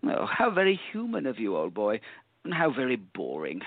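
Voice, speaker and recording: A man playing a smug, bored gentleman villain in a radio play, sarcastic and haughty, with a creaky voice. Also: medium pitch, huge pitch swings, moderate pace, no room sound, phone-quality sound. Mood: sneering, bored.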